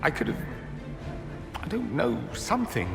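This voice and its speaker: A man's voice: a little high, huge pitch swings, measured pace, vocal fry, heavily acted. A man voicing a proud, wounded romantic lover in a video game cutscene. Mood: anguished. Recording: echoey hall reverb, loud music behind.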